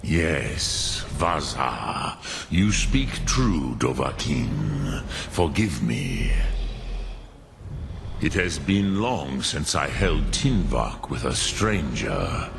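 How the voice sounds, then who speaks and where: A male actor playing an ancient, wise dragon in a fantasy video game, patient and weary, with a gravelly voice and slow, formal delivery. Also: rumbling low, big pitch swings, background noise, full character voice. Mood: grave, courteous. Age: older. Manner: slow, deliberate, formal, with long pauses.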